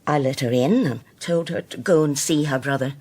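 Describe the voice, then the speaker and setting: An actress playing a cold, pious elderly mother in a radio drama, speaking deliberately and haltingly. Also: deep voice, a little mellow, breathy edge. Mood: cold, defiant. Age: older. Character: cold, self-righteous elderly mother, religious zealot.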